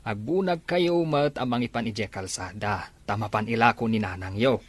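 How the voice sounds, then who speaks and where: A man's voice: on the low side, normal speed, close to an everyday voice. A middle-aged man with an Ilocano accent playing a stern, weary farmer father in an amateur family drama, scolding in exasperation. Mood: exasperated scolding.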